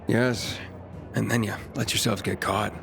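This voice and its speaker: A guarded wanderer hero in a video game. He talks in a lowish, understated voice, calm and dry. Music runs behind the lines. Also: measured pace, creaky. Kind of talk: video game cutscene acting.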